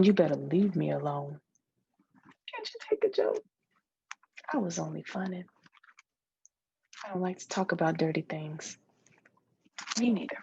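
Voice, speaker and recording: A woman reading novel dialogue aloud expressively, often hushed and breathy, switching between speakers. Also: mid-range voice, muffled recording, a little hiss or hum. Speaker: literary reader.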